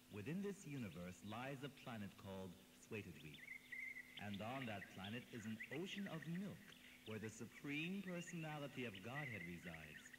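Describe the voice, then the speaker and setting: An actor playing a wise, grave grandsire god in a devotional audio drama, declaiming solemnly and formally. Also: male voice, mid-range voice, noisy background, natural voice. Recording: long cavernous reverb. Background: music.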